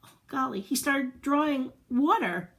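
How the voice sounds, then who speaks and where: A woman's voice: sits high, animated, a little slow, fairly bright tone, low-quality recording. A woman reading a picture book aloud to young children, expressively, with playful asides, questions and little sound effects. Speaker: children's storyteller.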